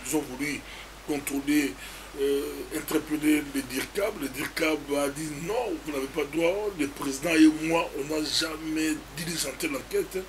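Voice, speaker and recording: A male interviewer lays out events and asks his guest questions, pausing on fillers along the way. His voice sits slightly high for a man. A faint hiss lies under the voice. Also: steady tone, poor audio. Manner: recounting events, hesitant fillers. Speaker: interviewer host.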